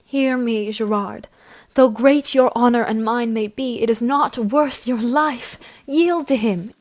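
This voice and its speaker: A woman's voice: a little high, measured pace, close to an everyday voice. Devoted, anxious period sweetheart female actor, raised register, emotional, formal drama delivery. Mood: pleading. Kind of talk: stage-play audio drama acting.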